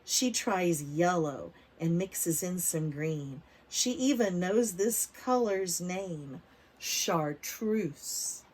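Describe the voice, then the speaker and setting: A woman reading a picture book aloud to preschoolers, expressive, stretching words for emphasis and putting questions to the children. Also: on the low side, very slow, breathy edge. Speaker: read-aloud storyteller for preschoolers.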